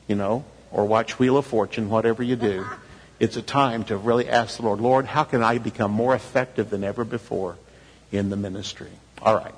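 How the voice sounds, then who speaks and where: In a big reverberant hall, a male preacher speaks with a husky voice. He exhorts in a conversational way, posing rhetorical questions and voicing imagined replies as he leads the session. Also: mid-range voice. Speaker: preacher leading a church leadership session. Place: large echoing hall, speaking to a group.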